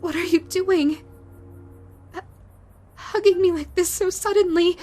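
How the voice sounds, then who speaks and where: A woman's voice: extremely high pitch, steady tone, warm tone, soft background music. A woman voicing a stammering scarred yokai woman. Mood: flustered. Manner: halting, stammering, repeats words. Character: scarred grieving female yokai ghost, self-loathing. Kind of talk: audio roleplay to the listener.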